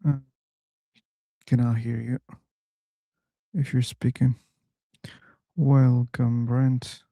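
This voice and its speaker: A man running a live online discussion room, relaxed and chatty, laughing easily. His voice is dark and mellow and his pace unhurried. The sound is dry and close, with no room around it. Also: mid-range voice, dry recording. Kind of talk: casual live-stream chat.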